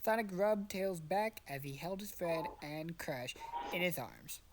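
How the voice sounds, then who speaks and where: A woman's voice: on the low side, lively intonation, measured pace, crowd noise. A young woman narrating fan fiction in a dramatic but casual, jokey storytelling style. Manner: dramatic storytelling, profane asides. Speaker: narrator and podcast cast member.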